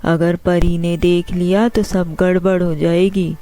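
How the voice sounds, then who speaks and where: Slow-talking cartoon boy thief. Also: on the low side, little pitch movement, mellow. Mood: scheming. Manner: slow, exclamatory, talking to himself. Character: sly, whining young boy who steals a magic flute. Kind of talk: Hindi children's cartoon dialogue. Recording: music under the voice.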